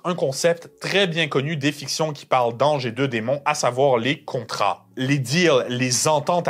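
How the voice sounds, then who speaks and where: A man's voice: mid-range voice, a bit bright. A man narrating a scripted analysis in a conversational way, as the host of a video essay.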